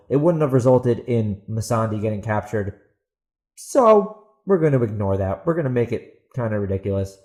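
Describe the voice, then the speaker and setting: A man's voice: fairly low pitch, a little mellow. A man hosting a podcast in a casual, opinionated rant, speaking bluntly and emphatically with incredulous rhetorical questions. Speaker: podcast host and author.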